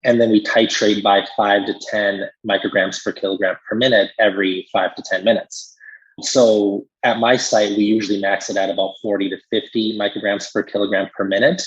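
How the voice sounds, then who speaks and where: A man with a fairly low, slightly husky voice talks like an expert in a podcast conversation. He explains things in a measured, informal way, often adding "you know." The recording is a little dull and lo-fi. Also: level tone.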